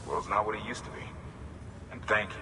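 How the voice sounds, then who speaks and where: An actor playing a weary, courteous cargo checkpoint officer in a video game, plain-spoken and informal. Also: male voice, fairly low pitch, fast talker, sharp tone. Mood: earnest, weary. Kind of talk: video game cutscene acting. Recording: distant, echoey hall with clear noise.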